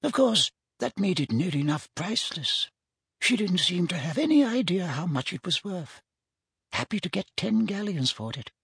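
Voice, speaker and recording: A little old male shopkeeper, as a man reading an audiobook puts him on, greedy and self-satisfied. The voice sits raised and slightly rough, rambling along in an offhand, chatty way, in a dry close recording. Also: sits high, sing-song, moderate pace, fairly bright tone, no echo, pitched above the performer's own voice, heavily acted. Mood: smug, sly. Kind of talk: audiobook character voice.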